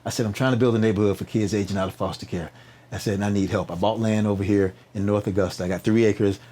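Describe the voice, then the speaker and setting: Fast-talking, low-voiced man telling stories. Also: steady tone, warm tone. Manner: preacher-like emphatic repetition, rhetorical questions and "right?" tags. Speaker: podcast guest, nonprofit founder. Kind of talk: animated conversational storytelling, interview.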